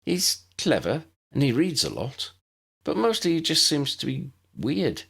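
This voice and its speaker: Young newcomer girl for audiobook, male performer: high swooping pitch, polite, curious, hesitant. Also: huge pitch swings, a little slow, gravelly, fairly bright tone, husky, exaggerated voice. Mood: thoughtful. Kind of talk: audiobook character voice.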